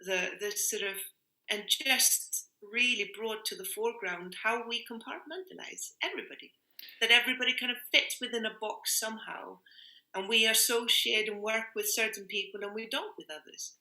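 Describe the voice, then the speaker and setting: A woman's voice: on the high side, normal speed, bright, forceful tone. A woman speaking reflectively in an interview, earnest and searching for her words, often repeating phrases for emphasis. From Iceland. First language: Icelandic. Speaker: interviewed music workshop leader. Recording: roomy, echoing sound.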